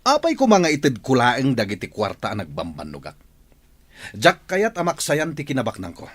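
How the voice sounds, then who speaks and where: Domineering rich boss, Filipino accent, radio drama, husky, very animated intonation, short-tempered. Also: male voice, medium pitch, normal speed, full character voice. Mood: angry, irritated. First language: Ilocano. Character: ruthless, short-tempered rich businessman, secretive husband and boss. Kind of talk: Ilocano radio drama acting.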